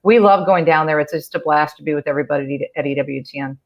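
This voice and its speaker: A woman who grew up in Michigan speaks as a guest, telling long stories in a talkative, easy flow. Her voice sits very low for a woman. She has the practiced ease of someone who works on radio. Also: quick pace, muffled recording. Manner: talkative, storytelling, warm restarts. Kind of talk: casual interview chat.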